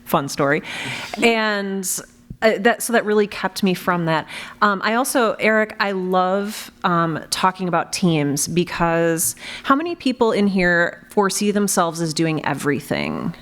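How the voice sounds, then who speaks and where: Animated, self-deprecating woman on a panel. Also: lowish voice, measured pace. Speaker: podcast creator and panel moderator. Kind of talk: casual panel talk to an audience. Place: live convention panel before an audience.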